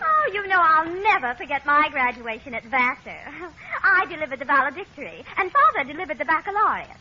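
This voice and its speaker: A woman's voice: high voice, big pitch swings, brisk, pushed and bright, exaggerated voice. An actress playing a snooty society woman in 1940s radio comedy, pompous, affected and gushingly condescending. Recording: dull old radio recording, audience noise.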